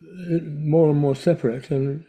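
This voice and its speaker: A man's voice: fairly high pitch, fairly even intonation, measured pace, warm tone. A man taking part in a session, replying hesitantly and searching for words. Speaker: participant answering the teacher.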